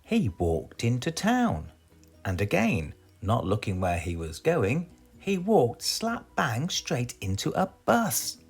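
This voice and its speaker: Very animated British man reading a children's story. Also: medium pitch, takes it slowly, faint music behind. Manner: dramatic, addresses young listeners with questions. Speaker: storybook reader.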